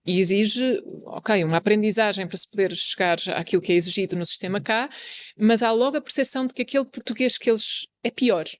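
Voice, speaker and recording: A woman's voice: medium pitch, fast talker. A woman, a journalist and co-founder of an association, talking earnestly in a casual panel conversation, with many fillers as she thinks aloud.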